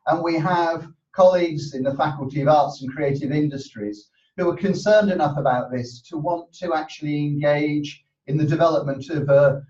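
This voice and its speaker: Confident man chairing a talk. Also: medium pitch. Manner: confident, loud-voiced speaker. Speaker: university sustainability board chair. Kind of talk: webinar presentation. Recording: distant, echoey, muffled webinar audio.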